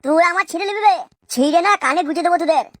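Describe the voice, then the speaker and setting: A woman's voice: very high-pitched, very fast, dry recording. A woman voicing a stubborn, wronged captive village man who taunts crudely in a comic cartoon. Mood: angry defiance.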